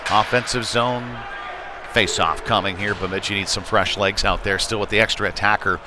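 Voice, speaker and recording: A man's voice: on the low side, fairly even intonation, moderate pace. A man giving live play-by-play of an amateur hockey game in an informal, folksy, conversational way. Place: indoor ice rink during a live game. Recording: noisy rink ambience behind voice. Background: clear rink and game noise.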